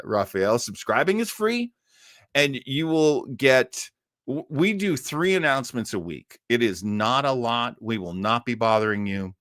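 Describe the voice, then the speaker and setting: A man's voice: medium pitch, a bit bright, dry recording, studio quality. A man hosting a livestream, chatting casually with viewers in a rambling, stop-start way. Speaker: host of a livestream show.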